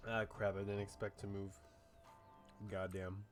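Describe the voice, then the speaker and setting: A man's voice: on the low side, flat delivery, measured pace, music in the background. A man giving casual live commentary on a game as a streamer, in informal asides. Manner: informal, muttered asides with swearing.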